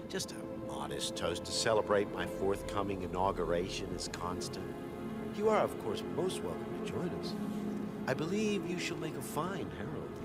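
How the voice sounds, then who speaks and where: An actor playing a pompous, courteous chief in a video game, with a formal, silky and theatrical manner. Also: male voice, on the low side. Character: smooth, pompous older Herald chief, courteous host. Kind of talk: video game cutscene acting. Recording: loud music behind voice.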